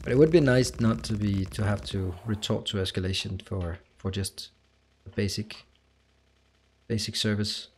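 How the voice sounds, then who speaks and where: Danish guy rambling on a game stream, lowish voice, bit of faint hiss behind. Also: moderate pace, a little mellow. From Denmark. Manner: rambling, chatty, reads and answers chat. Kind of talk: casual livestream chat while playing a game.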